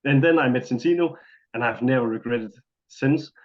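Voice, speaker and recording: A man's voice: mid-range voice, normal speed. A young man from Denmark with a Danish accent, speaking English carefully and searching for words. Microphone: video call. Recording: muffled video call audio.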